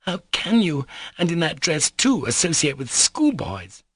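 An excitable, imperious teenage girl from a classic novel, acted out by a male audiobook reader. The voice sits high and sounds urgent and demanding, with exclamations and orders. It is recorded close and dry. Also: fairly quick, no room sound. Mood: bossy, scolding. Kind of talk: dramatised audiobook reading.

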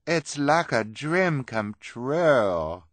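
Man voicing an earnest, lively carnival contortionist woman. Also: on the low side, unhurried, no echo, full character voice. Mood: delighted. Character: earnest female carnival contortionist, easily swayed.

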